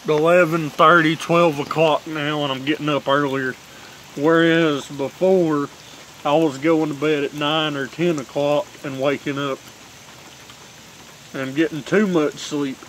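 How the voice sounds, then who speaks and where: A man's voice: on the high side, fairly even intonation, takes it slowly, long pauses, fairly bright tone, a little hiss or hum, rough recording. A young man, chatting casually and cursing often in a laid-back drawl while filming himself on a phone on a covered porch. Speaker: vlogger reviewing dip tobacco. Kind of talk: casual rambling vlog chat. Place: covered porch outdoors in heavy rain. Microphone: phone camera on kickstand. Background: rain falling.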